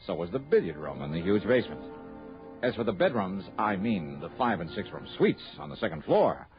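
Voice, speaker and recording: A man's voice: deep voice, sing-song, a little fast. A man playing a businesslike, patient but puzzled investigator in an old-time radio drama. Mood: wry, descriptive. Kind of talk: old-time radio drama acting and first-person narration. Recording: dull old radio recording with music.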